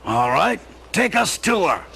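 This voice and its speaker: A man's voice: high-pitched, big pitch swings, a little slow, vocal fry, pushed and bright, breathy edge, exaggerated voice. A man playing a brash, blunt working-class plumber in a comic radio play. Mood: gruff, impatient.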